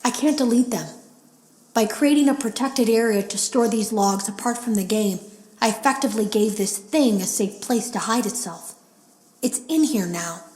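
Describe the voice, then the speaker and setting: An actress playing an uneasy female game tester, confiding in a measured, serious way in scripted audio logs for a horror game. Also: on the high side, darkish tone, roomy sound, lightly acted, rough recording. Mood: grave, resolute.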